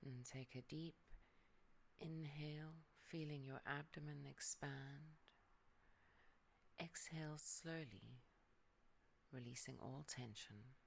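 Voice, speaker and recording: A yoga nidra guide leading a calm relaxation meditation, speaking softly and often sinking into a whisper. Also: mid-range voice, slow, gravelly, pauses often, a little hiss or hum.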